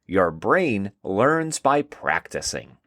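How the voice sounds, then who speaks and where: A man puts on a brainy, bespectacled guide from a kids' storybook. He sounds upbeat and instructive, taking his time with animated swings in pitch. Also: slow pace, no echo, studio quality, full character voice. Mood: encouraging. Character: bespectacled, encouraging brainy problem-solver, a frontal lobe.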